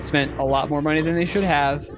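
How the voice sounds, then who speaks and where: Man podcasting over noticeable background noise. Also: on the high side. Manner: halting, often repeats words mid-thought. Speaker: podcast co-host. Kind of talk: casual podcast chat. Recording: clicks and steady background noise.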